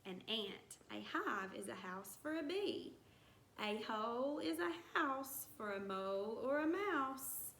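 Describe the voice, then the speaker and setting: A woman teacher reading a rhyming picture book aloud to young children, with deliberate, singsong storybook phrasing. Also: a little high, lively intonation, a bit bright. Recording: roomy echo with some background noise.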